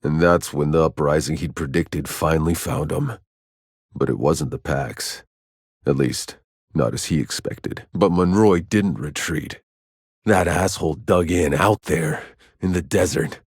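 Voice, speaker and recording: A deep male voice plays a world-weary soldier in a sci-fi video game. He is gruff in temper, terse and sardonic. The sound is very dry, with no room around it. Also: unhurried, no echo, exaggerated voice. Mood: grim, reflective. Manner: sardonic, terse, swears freely. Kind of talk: video game character voice lines.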